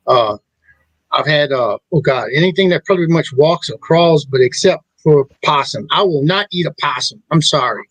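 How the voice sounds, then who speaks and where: A middle-aged man hosts a casual talk show, his voice sitting a bit high for a man. He rambles and stops to restart his sentences, and the sound is close and dry with no room around it. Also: fairly bright tone, dry recording, rough recording. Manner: rambling, halting, with many restarts. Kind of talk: casual chat.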